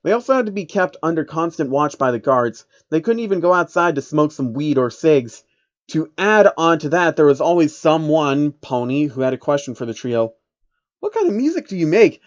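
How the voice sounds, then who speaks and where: A man's voice: fairly high pitch, normal speed. A man reading a story aloud as narrator with casual asides, on slightly dull audio. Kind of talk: fan fiction reading with asides.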